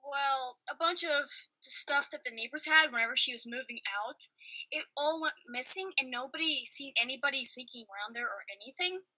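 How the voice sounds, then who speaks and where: A woman's voice: sits high, moderate pace, sharp tone, rough recording. A woman telling a personal story casually, in an earnest and emphatic way. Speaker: podcast participant.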